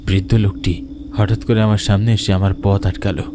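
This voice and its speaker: A man's voice: on the low side, little pitch movement, noisy background, close to an everyday voice. A man playing a frightened villager who narrates his own ghost encounter in a dramatic, suspenseful horror-cartoon style. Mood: fearful, suspenseful. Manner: suspenseful, deliberate. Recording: prominent music behind narration.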